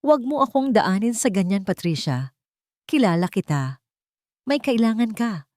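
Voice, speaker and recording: A woman's voice: mid-range voice, animated, normal speed, darkish tone, no room sound, clean studio sound, pitched above the performer's own voice, lightly acted. A woman voicing a bitter, frightened male doctor in an audio story, speaking with strained emphasis. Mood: bitter, wary.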